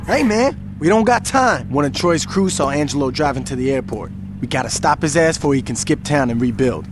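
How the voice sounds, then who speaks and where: An actor playing a brash young gang lieutenant in a game cutscene, talking in casual street slang. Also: male voice, a little high, animated, brisk, over loud music, lightly acted. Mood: urgent. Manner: informal, slangy. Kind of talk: video game cutscene acting.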